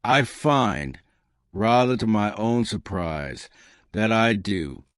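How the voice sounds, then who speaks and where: A man voicing a quiet, candid young gentlewoman in an audiobook, dry and composed. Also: fairly low pitch, lively intonation, takes it slowly, clean tone, no echo. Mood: reluctant confession. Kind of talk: audiobook character dialogue.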